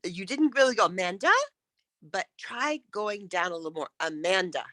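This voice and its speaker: A woman's voice: fairly low pitch, lively intonation, moderate pace, fairly bright tone, dry recording, studio quality. A woman coaching public speaking in an interactive lesson, encouraging and emphatic as she demonstrates.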